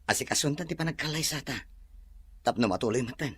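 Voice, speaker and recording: A man plays a forgiving Filipino younger brother and devoted fiancé in an audio drama. His medium-pitched voice is husky. He speaks earnestly, in a reassuring way. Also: quick pace, fairly bright tone, no room sound.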